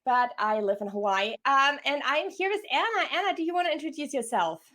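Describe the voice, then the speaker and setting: A woman's voice: high-pitched, lively intonation, brisk, sharp tone. An enthusiastic, effusive woman from Germany, talking conversationally as a career coach hosting a live online workshop.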